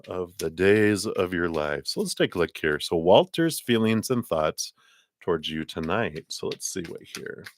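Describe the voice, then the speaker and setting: A man's voice: fairly low pitch, no echo, studio quality. A man hosting a live tarot reading stream, chatting in a rambling, casual way and laughing often, with a tickle in his throat. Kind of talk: casual livestream chat and card reading.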